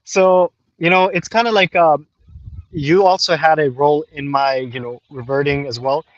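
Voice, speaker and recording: A man's voice: on the high side, fairly even intonation, normal speed, dry recording, lo-fi sound. A man in his twenties from Pakistan, later Canada, a native Urdu speaker, calling from outdoors and telling his story casually with slangy informality. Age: 20s. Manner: informal, with frequent "you know" and slang. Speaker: caller.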